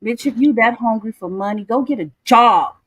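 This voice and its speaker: A woman's voice: a little high, normal speed, no echo. A woman with a lisp and chatting casually, rambling and repeating words as she starts a sentence. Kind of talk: casual chat.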